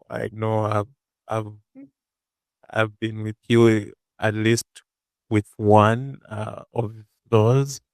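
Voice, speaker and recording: Slow, flat-voiced male host. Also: on the low side, vocal fry, clear, resonant tone, dry recording. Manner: halting, with many fillers and restarts. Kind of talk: hosting an online panel.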